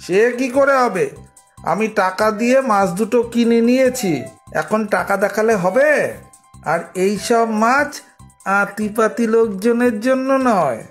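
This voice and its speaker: Man as high-voiced, pompous rich cartoon snob. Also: a little slow, faint music behind. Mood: condescending. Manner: pompous, condescending. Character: haughty, rich male market customer, impatient and snobbish. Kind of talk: children's cartoon acting.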